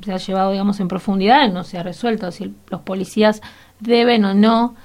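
A woman's voice: mid-range voice, steady tone, fairly quick, darkish tone. A woman with a Rioplatense Spanish accent hosting a conversational radio interview, phrasing things in a tentative, meandering way.

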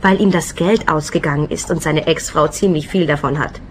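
A woman's voice: low voice, brisk, slight background noise, lightly acted. An actress playing a brazen, mercenary call girl in a radio play, cool, cheeky and matter-of-fact. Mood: scornful.

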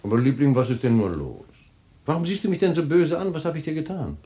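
A man's voice: a little high, expressive, fast, close to an everyday voice. A man playing a rattled, defensive husband. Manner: smooth, then flustered and shouting on the phone. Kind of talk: radio play acting.

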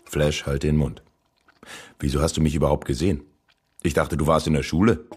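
A man's voice: extremely low pitch, level tone, a little fast, a little mellow, husky. A man playing a proud, boastful superhero father in a children's radio play. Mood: boastful, gruff.

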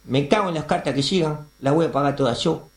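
A man's voice: fairly high pitch, fast, echoey room, natural voice. An Argentine man playing a foul-mouthed, self-important radio editorialist ranting in a comic radio play. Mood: indignant. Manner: pompous, indignant, foul-mouthed orator. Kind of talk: comic radio theatre monologue.

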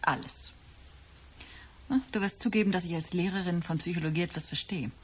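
An actress playing a condescending, needling middle-aged wife in a German radio drama, lecturing smugly like a schoolteacher. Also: female voice, lowish voice, a little fast, slightly rough. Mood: condescending analysis. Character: know-it-all wife, psychology-minded teacher, needling and condescending.

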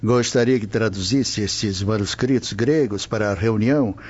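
A Brazilian-accented actor playing a genial, courteous old Roman official in a radio drama. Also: male voice, lowish voice, normal speed, darkish tone, slightly rough, lo-fi sound. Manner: genial, courteous, hesitant interjections.

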